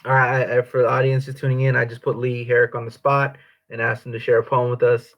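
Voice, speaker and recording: A man's voice: mid-range voice, level tone, quick pace, highs cut off, slight background noise. A man hosting an interview over a video call, outspoken and emphatic in his talk. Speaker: host. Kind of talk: casual interview.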